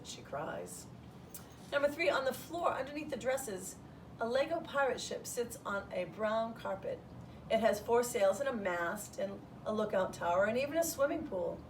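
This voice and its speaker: A woman reading a children's storybook aloud in the first person as a schoolteacher would, with an expressive delivery. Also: medium pitch. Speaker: third grade teacher reading aloud. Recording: distant mic, roomy background noise.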